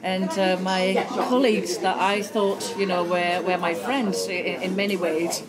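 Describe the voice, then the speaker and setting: A woman's voice: medium pitch, steady tone, a little slow. A woman in her thirties from France, a guest who talks hesitantly with many fillers. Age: 30s. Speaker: guest, community worker. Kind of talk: casual chat. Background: crowd chatter.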